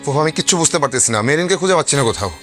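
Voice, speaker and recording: A helpless young nephew figure in a family drama. He pleads in a flustered rush, extremely fast and a little high, with music clearly behind him. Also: animated, fairly bright tone. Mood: panicked. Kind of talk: television drama acting.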